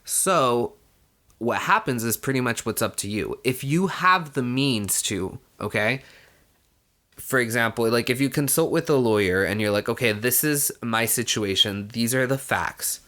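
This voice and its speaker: A man's voice: mid-range voice, measured pace, sharp tone. A young man, born in Mexico, telling his own story in a casual, conversational way, peppering it with "you know" and the odd swear word. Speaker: vlogger. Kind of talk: casual personal storytelling to camera.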